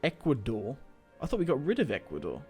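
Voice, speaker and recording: A man's voice: medium pitch, wildly swooping pitch, brisk, faint music behind. A young man casually commentating a strategy game he plays, chatty and rambling, swearing now and then. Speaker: gaming YouTuber. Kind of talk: casual let's-play commentary.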